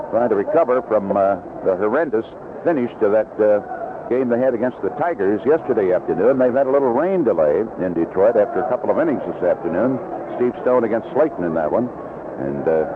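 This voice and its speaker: An American man calling baseball on 1970s radio as a play-by-play announcer, in a conversational delivery full of statistics. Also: mid-range voice. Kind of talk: 1970s radio sports commentary. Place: outdoor ballpark broadcast. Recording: noisy narrow-band vintage radio broadcast.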